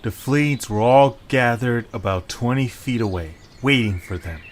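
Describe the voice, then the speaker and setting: A man's voice: mid-range voice, a little slow. A man narrating a scripted story in a dramatic style. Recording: faint background noise with birdsong.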